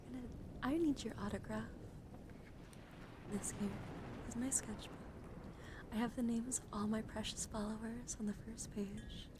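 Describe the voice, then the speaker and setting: A woman hosting an ASMR livestream, speaking softly and breathily into a close mic, often slipping into whispers, in a playful, cutesy, scattered way. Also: a little high, background noise. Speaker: livestream host. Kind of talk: ASMR livestream, whispering and soft talk to chat.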